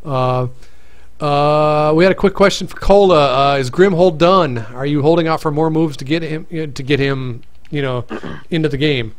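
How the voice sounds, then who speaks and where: A man's voice: on the high side, moderate pace. Male stream host, casual and rambling, voice wearing out. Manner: rambling, many restarts and fillers. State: voice getting tired. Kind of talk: casual live-stream chat.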